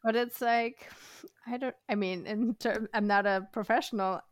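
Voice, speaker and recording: A woman's voice: on the high side, steady tone, normal speed, no echo. A woman hosting an interview podcast, asking hesitant questions and giving brief agreeing replies. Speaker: podcast host.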